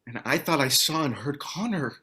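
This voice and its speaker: A man's voice: medium pitch, lively intonation, moderate pace, bright, forceful tone. A man playing an anxious, protective husband and father in a table read. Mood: worried, urgent.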